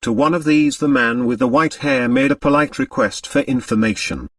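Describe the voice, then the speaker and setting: Expressive male audiobook narrator, dry sound. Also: mid-range voice, normal speed, creaky, no echo, distant mic. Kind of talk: scripted dramatic narration.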